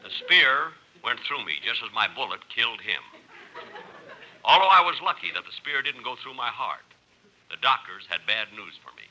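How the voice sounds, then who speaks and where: A man's voice: mid-range voice, expressive, normal speed, bright, forceful tone, rough recording. An actor playing an earnest adventurer narrating his own jungle tale in 1950s radio comedy style. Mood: dramatic, deadpan. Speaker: storyteller. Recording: old radio recording, faint noise.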